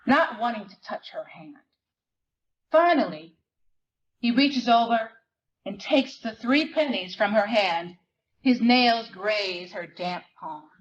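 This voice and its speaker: A woman reading literary fiction dramatically, in measured, deliberate phrases with weighted pauses. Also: medium pitch, lo-fi sound. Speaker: dramatic reader at a library performance. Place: live reading at a library event.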